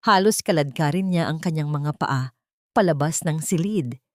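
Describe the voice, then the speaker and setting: A woman narrator reading a scripted dramatic audio story in a measured, theatrical storytelling way. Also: low voice, dry recording, clean studio sound.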